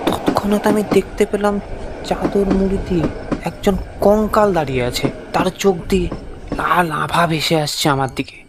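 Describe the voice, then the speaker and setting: Casting: male first-person narrator for horror tale, expressive and suspenseful, slightly high register, heavy background noise. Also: normal speed. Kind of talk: dramatic scripted horror storytelling.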